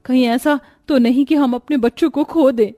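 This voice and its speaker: A woman's voice: sits high, fairly even intonation, normal speed, mellow, dry recording, clean studio sound, soft background music, natural voice. A woman playing a meek, suffering young pregnant daughter-in-law in a cartoon story, speaking timidly and plaintively. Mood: frightened. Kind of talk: Hindi cartoon story voice acting.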